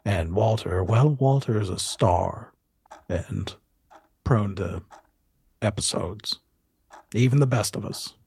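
Man acting a low, probing studio boss. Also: creaky, warm tone, slight background noise. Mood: smooth, patronizing. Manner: halting, deliberate, insinuating questioning. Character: probing, courteous, quietly menacing film studio boss. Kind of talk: 1920s-set audio drama roleplay.

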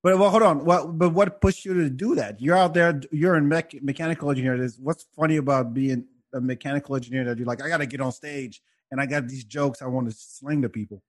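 A man's voice: mid-range voice, a little fast, few pauses. A man from Senegal who grew up in France, hosting a podcast in a playful, casual way and eagerly cutting in with questions. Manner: playful, interrupts eagerly, laughs often. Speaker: podcast host.

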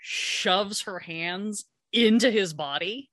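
A woman's voice: medium pitch, takes it slowly, fairly bright tone, no room sound. A woman narrating a live tabletop game as game master, chatty and hesitant, filling her descriptions with "like" and "um.".

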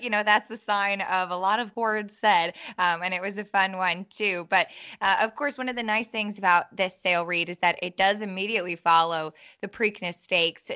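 A woman's voice: mid-range voice, pushed and bright. A young adult woman hosting a casual podcast interview, tired but chatty and upbeat, with frequent fillers. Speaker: podcast host.

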